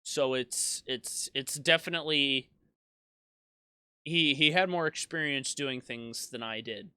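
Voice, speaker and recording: A man's voice: medium pitch, measured pace, pushed and bright, smooth, dry recording. A man in his twenties, a YouTube gaming creator, reflecting in a casual monologue that rambles with frequent restarts and self-deprecating asides. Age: 20s.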